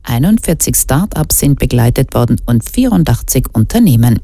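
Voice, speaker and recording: A woman's voice: a bass voice, big pitch swings, moderate pace, warm tone, dry recording. A woman anchoring a radio news bulletin, reading scripted reports in a formal news style.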